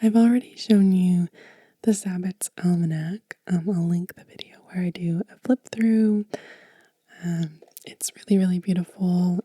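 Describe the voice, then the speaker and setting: A woman giving a gentle, informal ASMR show and tell in a breathy, soft whisper, unhurried and close to the microphone. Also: lowish voice, no room sound. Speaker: ASMR channel host.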